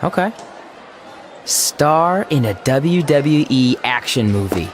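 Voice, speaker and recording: A man playing a goofy, wrestling-obsessed high school boy in a video game, playful and mock-pompous. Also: lively intonation, slow pace. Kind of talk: scripted video game cutscene acting. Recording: faint background noise.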